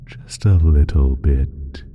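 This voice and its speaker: Ancient malevolent creature for audio drama: extremely low, very slow, sly and taunting, drawing out every phrase. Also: male voice, highs cut off, soft background music. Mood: menacing, patronizing. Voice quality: very deep, husky, breathy, dropping into whisper. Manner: drawn-out, halting, teasing delivery with low chuckles. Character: ancient evil male creature, sly, taunting, sinister pact-maker. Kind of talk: slow, theatrical audio roleplay monologue addressed to listener.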